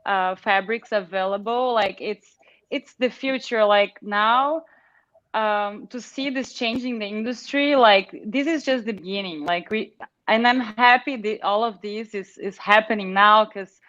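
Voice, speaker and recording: A woman's voice: medium pitch, measured pace, a bit bright, dry recording, highs cut off. Enthusiastic Brazilian woman on a video call. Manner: chatty, enthusiastic, many fillers. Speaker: panelist, international model. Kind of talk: casual video call panel talk.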